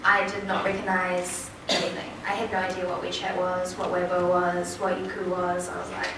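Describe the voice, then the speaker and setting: A woman's voice: fairly low pitch, normal speed. A woman in her twenties with a New Zealand accent, telling a chatty personal story as a speaker at a forum. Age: 20s. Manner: chatty, lively storytelling with quoted asides. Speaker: panel speaker. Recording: echoey hall from far off, noisy.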